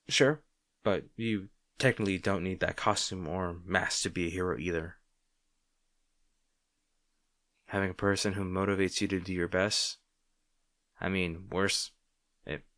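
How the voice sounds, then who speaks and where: A man's voice: sits low, fairly bright tone, no room sound, studio quality. A man playing a wry, insecure, secretly fond supervillain rival in a roleplay audio drama, talking to the listener in a hesitant, conversational, sardonic way. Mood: earnest, hesitant.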